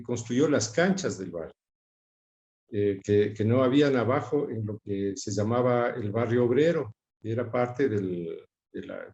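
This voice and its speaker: A middle-aged man with a Quito Ecuadorian accent and a soft, mellow tone reminisces as an interview guest. He speaks reflectively and haltingly, often repeating words, and the room echoes around him. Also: medium pitch. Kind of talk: conversational reminiscence. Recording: roomy, echoing sound.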